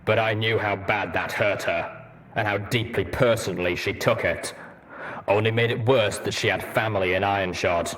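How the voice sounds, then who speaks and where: Brooding armored veteran stallion for an audio story, low, reflective, plain-spoken man acting it. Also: fairly even intonation, moderate pace, a bit bright, a little hiss or hum, lightly acted. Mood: regretful. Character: brooding armored stallion veteran pony soldier, nostalgic, grim.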